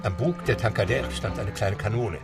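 A man narrating a radio drama in a measured storytelling manner. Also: lowish voice, recorded from a distance. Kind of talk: scripted radio-play narration. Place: studio. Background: music.

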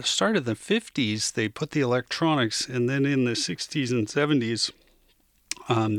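A man's voice: mid-range voice, fairly bright tone, dry recording, studio quality. A man hosting a music radio show in a casual, unscripted way, rambling and often searching for his words.

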